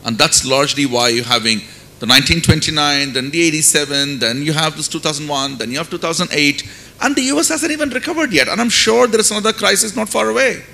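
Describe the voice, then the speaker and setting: Bright-voiced, emphatic middle-aged Indian-accented man. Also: fast talker, creaky. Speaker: panelist, finance academic. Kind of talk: panel discussion remarks. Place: conference stage indoors. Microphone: handheld microphone. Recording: faint noise and low rumble.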